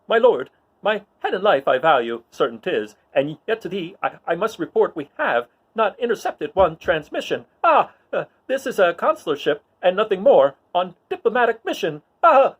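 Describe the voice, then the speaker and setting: A terrified rebel officer , acted out by a man, pleads as if being choked. The voice is high, strained and loud in theatrical verse, on a slightly dull recording. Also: very animated, moderate pace, breathy edge, full character voice. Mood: choked terror. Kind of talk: dramatic reading of mock-Shakespearean verse.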